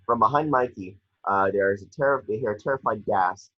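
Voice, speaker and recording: Low, muffled man narrating a script. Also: fairly quick, slightly rough. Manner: matter-of-fact, with stumbles over words. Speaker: narrator reading action descriptions. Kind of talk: scripted narration at a table read.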